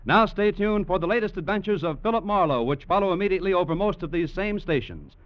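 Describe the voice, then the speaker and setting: A man's voice: high voice, quick pace. A man with an American accent announcing in a polished, formal 1940s radio style. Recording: muffled, dry vintage radio recording.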